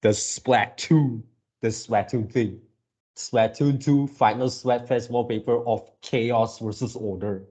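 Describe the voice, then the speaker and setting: Dark-voiced young man, halting delivery. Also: medium pitch, fairly even intonation. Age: early 20s. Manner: halting, repeats and rephrases himself. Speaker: video channel host. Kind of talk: casual reaction video talk to viewers.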